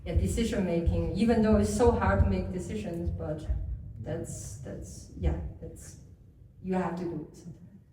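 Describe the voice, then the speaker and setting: A woman from China, an artist on a panel, answering slowly and searching for her words. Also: fairly low pitch, steady tone, dark tone, slight background noise. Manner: searching for words, many restarts. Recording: echoey room, mic far off.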